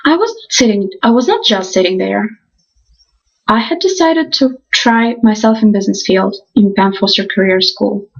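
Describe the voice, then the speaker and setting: Earnest young woman, halting restarts. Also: mid-range voice, lively intonation, slightly rough, lo-fi sound. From Ukraine. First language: Russian. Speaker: college student. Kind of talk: reading a class presentation.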